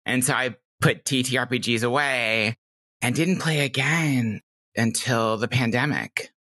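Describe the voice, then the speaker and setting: A woman's voice: extremely low pitch, a little slow, a bit bright. A middle-aged woman chatting casually and enthusiastically as a podcast guest, telling anecdotes. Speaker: podcast guest, game master.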